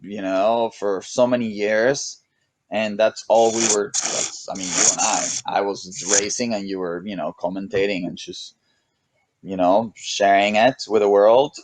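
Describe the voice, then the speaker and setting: A man with a Mexican accent chatting casually as an interview guest, relaxed and rambling, with many restarts and "you know"s. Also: fairly low pitch, measured pace, background noise. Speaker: guest, pro paddle racer.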